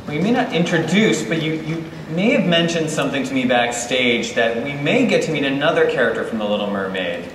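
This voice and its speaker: A man's voice: on the high side, expressive, fairly quick, few pauses. A man hosting a live fan convention panel, asking questions in a conversational, jokey way. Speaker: panel host. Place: large indoor convention hall stage. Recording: echoey convention hall, distant, crowd noise.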